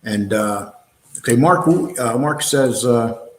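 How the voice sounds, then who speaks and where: A New York-accented man hosts a live show and answers the chat in blunt, combative terms, at a mid-range pitch. His talk is full of fillers and restarts. The echoey room gives the voice a hollow ring. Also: slow. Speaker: host of a live online show. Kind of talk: live-stream chat, answering viewer comments.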